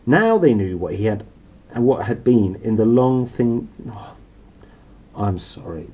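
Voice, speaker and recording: A man's voice: lowish voice, very animated, a little slow, dark tone, breathy edge. A man reading a story aloud in a theatrical, playful and dramatic way. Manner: theatrical, playful, self-correcting. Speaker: storybook reader. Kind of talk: dramatic, expressive storybook narration.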